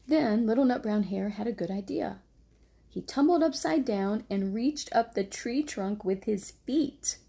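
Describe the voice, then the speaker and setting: A woman reads a bedtime story in a gentle, motherly way. Her voice is husky and mellow, with plenty of creak, and she slips into casual talk to her little one. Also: mid-range voice, animated, a little slow. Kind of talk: read-aloud children's story with casual asides to a small child.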